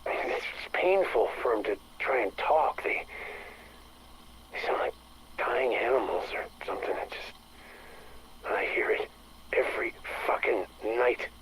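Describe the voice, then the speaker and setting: An actor playing a distressed maintenance director confessing into a game audio log, halting, stammering and bitter. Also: male voice, mid-range voice, a bit bright. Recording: faintly noisy recorded audio log.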